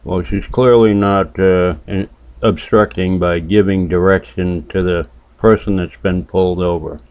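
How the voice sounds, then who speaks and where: Man from New Hampshire, deep voice, sardonic commentary host, muffled dry recording. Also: fairly even intonation, a little mellow, dry recording. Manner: dry, sardonic, drawn-out remarks. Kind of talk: casual reaction commentary.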